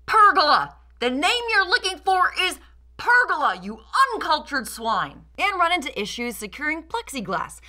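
Female video essayist, young, sarcastic and theatrical, very lively pitch, forceful bright delivery. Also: normal speed. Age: young adult. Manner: sarcastic, theatrical, mock-indignant. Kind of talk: scripted critical commentary.

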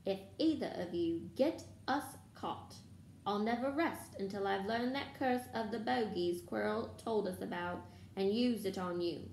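A woman's voice: medium pitch, measured pace, vocal fry, darkish tone, echoey room, slight background noise, rough recording. A woman voicing a grumpy, blunt schoolboy who sounds curt and irritated, in a storybook reading. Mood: threatening. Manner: furious, curt.

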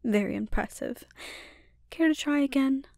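A woman voicing a calmly dominant, taunting sorceress villain in an intimate ASMR roleplay, speaking deliberately and lapsing into breathy whispers. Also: high voice, no room sound, clean studio sound. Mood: mocking, composed.

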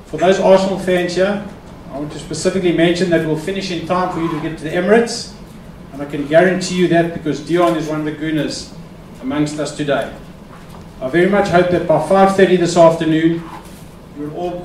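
A man with a fairly high voice welcomes the audience in a formal, polite way. He is heard from far off in an echoey hall, with faint background noise. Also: fairly even intonation, brisk, a little mellow. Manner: formal, courteous. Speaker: host introducing the speaker. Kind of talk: welcome speech. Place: conference hall.

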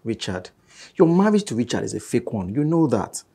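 A man's voice: mid-range voice, big pitch swings, brisk, creaky, dark tone, husky, heavily acted. A man acting a possessive, menacing lover in a film drama, taunting with rhetorical questions.

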